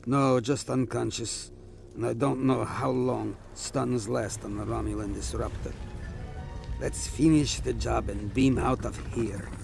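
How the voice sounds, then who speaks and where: A man's voice: medium pitch, fairly even intonation, unhurried, gravelly, a little mellow, faint music behind. A man playing a cocky veteran starship captain in an audio drama, dry and bantering. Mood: teasing, assured.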